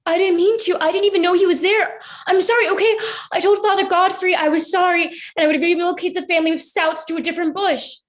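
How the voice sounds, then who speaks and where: A woman's voice: extremely high pitch, fairly even intonation. A woman in a staged play reading, voicing a hot-tempered, awkward and defensive teenage schoolgirl with a blunt, rambling way of talking. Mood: pleading, defensive. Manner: blunt, sweary, halting then rambling.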